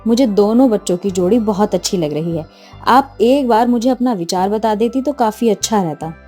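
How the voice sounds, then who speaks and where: A woman playing a middle-aged mother and mother-in-law who instructs and gently scolds in a Hindi moral story cartoon. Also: medium pitch, normal speed, faint music behind, close to an everyday voice. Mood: polite.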